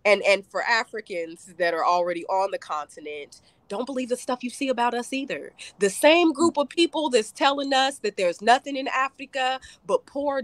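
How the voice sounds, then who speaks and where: A woman's voice: on the high side, no room sound. Woman, guest on a video call, emphatic and passionate, dry close sound. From United States. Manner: passionate, emphatic, speeds up and slows down. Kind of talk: casual conversation.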